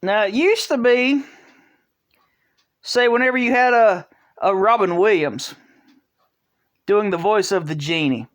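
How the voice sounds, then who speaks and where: A vlog host giving casual opinion commentary, talking in a halting way, restarting phrases and filling pauses, in an earnest, conversational tone. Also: mid-range voice. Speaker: channel host.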